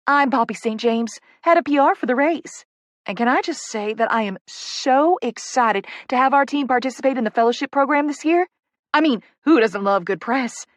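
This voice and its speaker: A narrator plays an excitable little Southern woman in publicity, from an audiobook novel. Her voice sits high and swings upward with emphatic, breathless gushing. She is bubbly and effusive, with a Southern drawl, recorded close and dry. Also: normal speed, dry recording, close to an everyday voice. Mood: gushing, excited.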